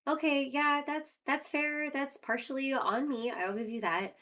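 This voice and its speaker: A woman plays in a tabletop roleplaying game with a fairly high voice. She improvises her character's talk in a casual, conversational manner. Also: fairly even intonation, measured pace, sharp tone, slight background noise. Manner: informal, conversational.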